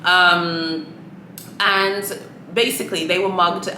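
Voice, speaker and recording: Swahili-native woman vlogger, low voice, chatty and sassy, echoey room with audible noise. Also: fairly even intonation, slow pace, sharp tone. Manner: chatty, many fillers, sassy asides. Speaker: luxury shopping vlogger. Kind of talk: casual chat to camera.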